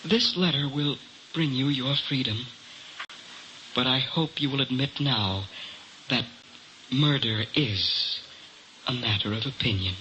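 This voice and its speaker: An actor playing a dying, coldly vindictive brother confessing by letter in a measured, pointed radio drama monologue. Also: male voice, medium pitch, sharp tone. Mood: calm, pointed. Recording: noisy old radio broadcast.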